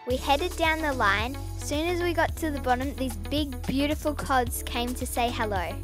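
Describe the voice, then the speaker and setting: A woman's voice: high voice, normal speed, music in the background. A woman, giving a cheerful voice-over about a dive. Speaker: vlog narrator. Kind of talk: scripted narration.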